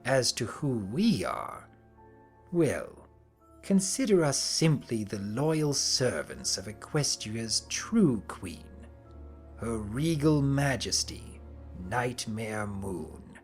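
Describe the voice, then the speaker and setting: A man voicing a smug, sinister pony cult leader who speaks slowly and formally, in a dramatic story reading. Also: mid-range voice, big pitch swings, faint music behind. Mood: smug, superior. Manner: slow, smug, formal and menacing.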